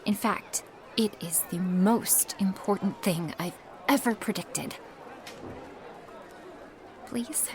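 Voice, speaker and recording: A woman playing a flustered, earnest young witch who reads tarot, in an ASMR roleplay with a halting manner. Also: medium pitch, background noise. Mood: flustered, pleading. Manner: halting, breathy asides, nervous little laughs and sighs. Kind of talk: ASMR roleplay acting, addressed to the listener.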